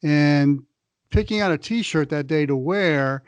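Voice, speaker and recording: A man's voice: on the high side, slow pace, smooth, no echo, dull audio. A man co-hosting a sports podcast, chatty and wry, with frequent hesitations. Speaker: podcast co-host. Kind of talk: casual sports talk.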